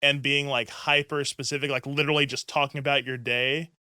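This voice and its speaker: A man's voice: medium pitch, level tone, a little fast, sharp tone, clean tone, dry recording, studio quality. Chatty man hosting, full of likes. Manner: chatty, rambling, heavy use of "like" and "um". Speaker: podcast host. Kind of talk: casual interview chat.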